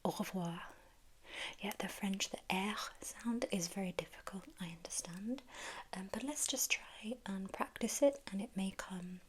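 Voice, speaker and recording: A woman whispering a gentle ASMR French lesson, patient and encouraging, repeating each word slowly. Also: medium pitch, husky. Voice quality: soft whisper. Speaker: French tutor.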